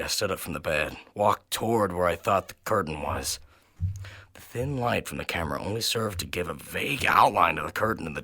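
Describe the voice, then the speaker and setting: A man reading a first-person horror story in an intense, theatrical manner that swings between hushed and loud. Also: deep voice, dry recording. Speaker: narrator and reader of a horror story.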